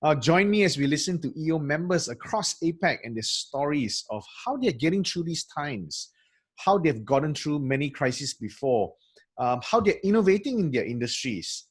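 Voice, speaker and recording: A man from Malaysia hosting a podcast interview over a video call, in a friendly, measured way. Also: mid-range voice, husky. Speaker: podcast host.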